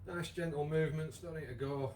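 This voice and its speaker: A man with a croaky voice, leading a live online exercise class with many fillers. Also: fairly high pitch, fairly even intonation, a little fast, darkish tone, slight background noise. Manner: chatty, encouraging, lots of fillers. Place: home room with wall and bar. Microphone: device camera, moves away at times.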